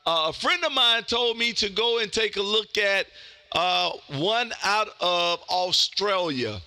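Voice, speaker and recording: Bright, forceful man hosting a radio livestream. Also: high-pitched, animated, measured pace, no room sound, studio quality. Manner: effusive, folksy, peppers talk with "man". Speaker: amateur radio livestream host. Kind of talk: casual radio chat with listeners.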